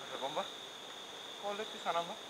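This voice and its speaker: A nervous man films a night ghost hunt in a forest, calling to his companion and muttering prayers. Crickets and insects are clearly heard around him through the camera mic. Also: high voice, expressive, fast talker, very noisy. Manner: nervous, keeps calling the medium, repeated prayers. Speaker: ghost-hunting vlogger. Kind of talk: night ghost-hunting vlog.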